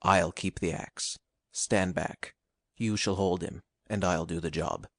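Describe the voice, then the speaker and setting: A woman voicing a thin, malignant, crippled male convict with a low, muttering delivery. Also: fairly even intonation, slow, no room sound, studio quality, heavily acted.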